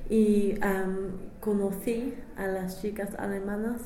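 A young woman with as her gives informal interview answers in a slow, hesitant way, feeling for the right words. Her pitch moves little and the room adds noticeable echo over faint noise. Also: level tone, dark tone. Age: young adult. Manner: hesitant non-native speech, searching for words. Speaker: interviewee, language student.

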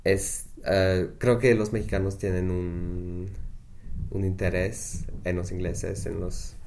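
Low gravelly young man, hesitant. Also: level tone, slow pace, a little mellow, a little hiss or hum. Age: young adult. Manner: hesitant, many filler sounds and restarts. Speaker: student interviewee. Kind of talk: interview answers in learner Spanish.

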